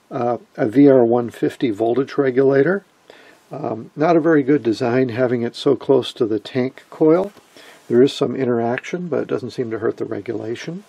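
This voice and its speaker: A man giving an informal, unscripted technical show-and-tell as a radio hobbyist, explaining in a halting, matter-of-fact way. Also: medium pitch, gravelly, mellow, slightly rough, no room sound. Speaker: amateur radio hobbyist presenting homemade gear.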